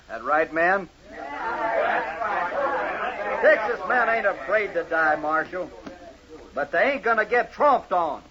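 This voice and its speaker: Slow, forceful Texan cowboy gang boss. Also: male voice, on the high side, heavily acted. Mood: menacing. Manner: slow, hard, threatening. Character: defiant, menacing male Texan cowboy mob leader. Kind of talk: 1950s radio western acting. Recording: dull, noisy 1950s radio recording.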